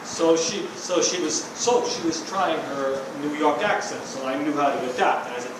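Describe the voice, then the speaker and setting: A man's voice: a little high, normal speed, lightly acted. A man improv player acting as a spy's husband, telling the story in a chatty, anecdotal way. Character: husband of a spy. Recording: echoey hall from far off, noisy.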